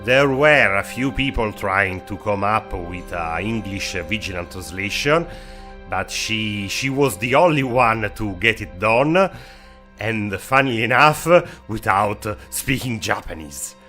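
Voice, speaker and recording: Male game-show host: lowish, forceful voice, emphatic stop-start phrasing, informal. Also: a little slow, soft background music. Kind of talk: informal video review narration.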